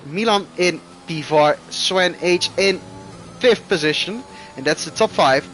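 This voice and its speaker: A man whose first language is Dutch, commentating live on an online racing game with excitable bursts. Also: high-pitched. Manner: excitable, rising loud bursts at crashes. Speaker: esports race commentator.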